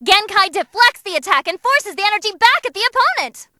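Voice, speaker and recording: A woman voicing an excitable, cheeky young female tournament announcer in a video game, with theatrical, exclamatory commentary. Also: extremely high pitch, fairly quick, no echo, exaggerated voice. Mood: explanatory, energetic.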